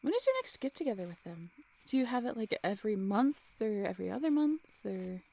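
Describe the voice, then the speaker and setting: A woman acting a cheerful, affectionate young woman in an intimate roleplay audio drama, with a playful, teasing, expressive delivery. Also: on the high side, fairly quick, gravelly, exaggerated voice. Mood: chatty, amused.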